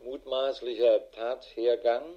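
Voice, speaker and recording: An actor playing a harried, middle-aged police investigator in a radio drama, matter-of-fact and dry. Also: male voice, medium pitch, little pitch movement, slow, dull audio. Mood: sober, dictating. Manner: matter-of-fact, dry, clipped official phrasing. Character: harried, world-weary male police investigator with a wife. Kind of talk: German radio drama acting, dictated report.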